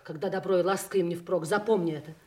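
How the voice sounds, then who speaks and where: An actress playing an imperious, resentful duchess in a radio drama, giving orders with commanding impatience. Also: female voice, lowish voice, steady tone, fast talker, darkish tone, natural voice. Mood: cold, commanding. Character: Goneril, imperious resentful duchess, king's hard daughter. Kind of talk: theatrical radio drama acting.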